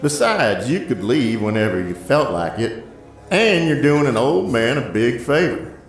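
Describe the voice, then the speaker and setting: A man playing a gruff, proud old diner owner in an audio drama, grumbling and wry. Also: mid-range voice, sing-song, measured pace, echoey room, soft background music. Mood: wry persuasion. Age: older.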